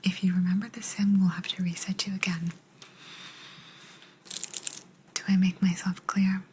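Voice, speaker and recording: A woman voicing an aloof, secretive alien abductor in a soft, breathy, unhurried whisper for an ASMR sci-fi roleplay. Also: mid-range voice, little pitch movement, a little hiss or hum, lightly acted. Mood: secretive, gently firm. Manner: unhurried, hushed, personal attention. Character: aloof female alien abductor, calm, secretive, gently apologetic.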